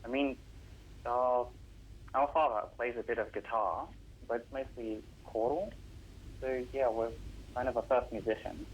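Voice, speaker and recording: A man's voice: medium pitch, fairly even intonation, a little slow, pauses often, slight background noise, rough recording. A teenage boy, a Russian speaker at home, answering radio interview questions about composing, earnest and explaining at length with some hesitations. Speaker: young composer guest.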